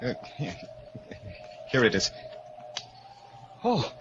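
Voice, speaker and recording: An actor playing a tense, haunted husband with a halting, urgent delivery in 1940s radio drama style. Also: male voice, mid-range voice, wildly swooping pitch, a bit bright, exaggerated voice. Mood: unsettled. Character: tense, haunted husband gravely sure of doom. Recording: muffled, noisy 1940s radio recording.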